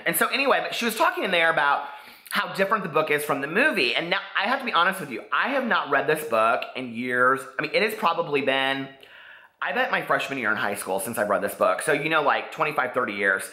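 A man in his forties with an American accent, chatting casually to camera in an excitable, rambling way with lots of "like". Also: a little high, quick pace. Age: 40s. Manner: excitable, rambling, peppers talk with "like". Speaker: book vlogger. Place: living room, indoors. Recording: echoey living room.

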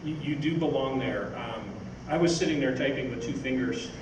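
A man's voice: mid-range voice, moderate pace. An older man giving an informal, rambling, anecdotal talk on stage, answering questions from an audience. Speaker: speaker, science fiction writer. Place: on stage in an event hall. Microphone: far camera microphone. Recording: echoey hall from far off, noisy.

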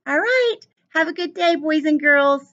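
A woman's voice: sits high, lively intonation, measured pace, a bit bright, muffled recording. A woman in her forties, an elementary teacher, reading a story aloud to young children with sing-song, exaggerated emphasis and speaking to them directly. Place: small indoor classroom with cabinets and whiteboard.

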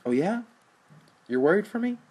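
Man reading a hostile, defensive older brother; husky, on the low side. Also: fast. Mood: angry. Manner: angry, swearing, defensive. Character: defiant young man, slacker older brother.

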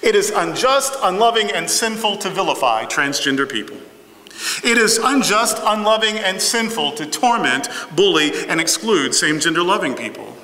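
A man's voice: high-pitched, animated, moderate pace, pushed and bright. A middle-aged man preaching a sermon in a large church sanctuary, emphatic and rhythmic, building phrases through repetition. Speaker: pastor. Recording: echoey hall reverb.

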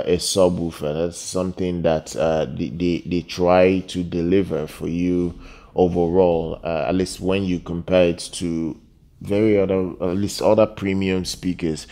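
A man with a very low, mellow voice presents a product review off the cuff. He talks haltingly, doubling back on phrases and repeating words to drive his point home. The tone stays dark and relaxed. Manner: halting, repetitive, emphatic on key words. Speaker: product reviewer, channel host. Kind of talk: unscripted product review voice-over.

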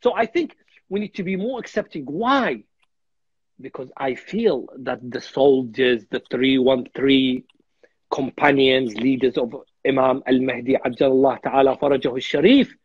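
A man's voice: mid-range voice, normal speed, husky, dry recording, highs cut off. A man in his thirties from Iraq, a guest speaker in a religious discussion on a video call, earnest and repeating words as he thinks. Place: home room on a video call.

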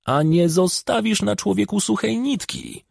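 A man's voice: high-pitched, animated, unhurried, vocal fry, darkish tone, no room sound, studio quality, pitched above the performer's own voice, full character voice. A man voicing a self-important, sly village official who talks in an emphatic, colloquial, wheedling way, in an audiobook. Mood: grudging bantering.

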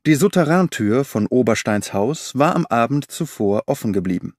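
A man narrating an audiobook in the first person, measured and expressive. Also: medium pitch, dry recording, studio quality.

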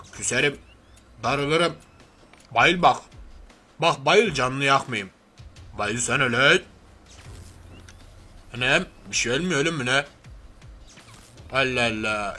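A man's voice: a little high, bright, forceful tone. A man voicing a brash, grumbling, slangy macho man turned reluctant superhero in a cartoon parody. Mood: blustering, then exasperated. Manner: blustering, slangy, threatening then sentimental. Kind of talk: cartoon parody character dub.

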